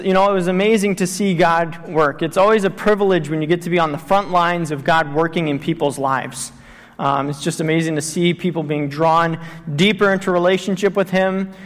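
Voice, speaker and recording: A fairly high-pitched man in his thirties who grew up in Tanzania gives a missions talk at church. He speaks earnestly, with plenty of ums and uhs, and his voice rings with the long reverb of a church hall. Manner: earnest, with many fillers. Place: church sanctuary.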